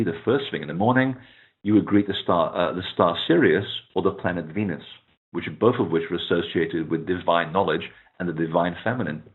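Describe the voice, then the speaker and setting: Guest speaker, man, Portuguese native, low voice, unhurried, lots of "uh"s, phone audio. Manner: relaxed, many "uh" fillers. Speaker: author and guest. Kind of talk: phone interview, explaining at length. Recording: tinny phone line.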